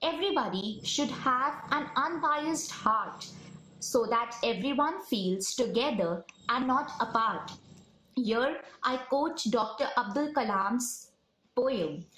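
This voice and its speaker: Young Indian woman reciting, slow and roomy. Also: fairly high pitch, animated, a bit bright. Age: young adult. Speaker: poem presenter. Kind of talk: recited poem. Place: small room at home. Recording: roomy home recording with noise.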